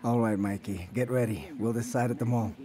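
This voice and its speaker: A man's voice: fairly low pitch, a little fast, dark tone, lightly acted. A man voicing an evasive uncle who tries to slip away on holiday. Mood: reluctant. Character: evasive uncle avoiding chores.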